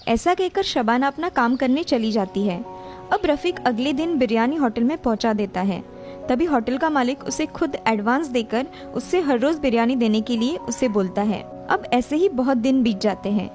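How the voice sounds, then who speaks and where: A woman's voice: fairly high pitch, expressive, normal speed, a little mellow. A woman reading a scripted children's story as narrator, with music behind her. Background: background music.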